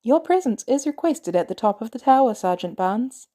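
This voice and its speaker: A woman voicing a male artificial intelligence butler in an audiobook, formal, measured and unflappably polite. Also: on the high side, animated, dark tone, voice pushed up, close to an everyday voice. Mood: cool, polite.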